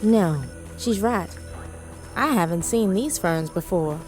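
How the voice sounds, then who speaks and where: An actress playing a steady, dutiful wartime commando leader in an audio drama, composed and commanding. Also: female voice, medium pitch, takes it slowly, faint music behind. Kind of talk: scripted audio drama with first-person narration.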